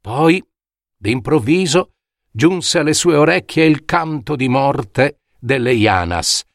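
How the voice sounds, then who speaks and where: Guy reading a fairy tale, slow n dramatic, very dry close recording. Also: medium pitch, dry recording. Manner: measured, dramatic storytelling with deliberate pauses. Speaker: storyteller. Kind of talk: scripted fairy tale narration.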